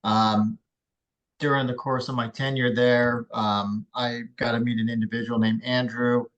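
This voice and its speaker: A man's voice: mid-range voice, flat delivery, unhurried, a bit bright, dull audio. A man introducing himself to an online class, rambling with lots of fillers over a video call. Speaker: student. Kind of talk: self-introduction.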